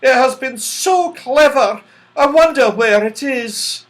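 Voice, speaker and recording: A man voicing a gentle, wistful female fairy in a children's storybook, fretting softly. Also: extremely high pitch, expressive, slow pace, voice pushed up, heavily acted. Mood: wistful, worried.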